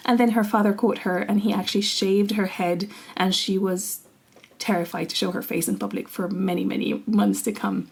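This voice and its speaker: A woman's voice: mid-range voice, steady tone, normal speed, gravelly, a little mellow, husky. A young woman from Syria, co-hosting a podcast and telling personal stories in an earnest, casual way. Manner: earnest storytelling, laughs easily. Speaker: podcast co-host. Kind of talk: casual conversational chat.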